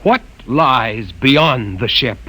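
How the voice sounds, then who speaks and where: An actor playing a defiant, impetuous young heretic, with forceful, challenging delivery in vintage radio drama style. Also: male voice, mid-range voice, animated, drawn-out words, exaggerated voice. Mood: defiant, scornful. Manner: forceful, challenging, clipped questioning. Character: defiant, impetuous young male heretic doubting his people's faith.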